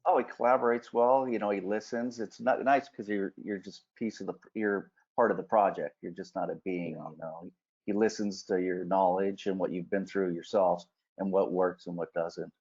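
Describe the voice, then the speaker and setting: A man's voice: low-pitched, fairly even intonation, moderate pace, darkish tone, breathy edge. A plain-spoken man talking in short casual phrases as a film crew guest on a panel. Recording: muffled lo-fi online call.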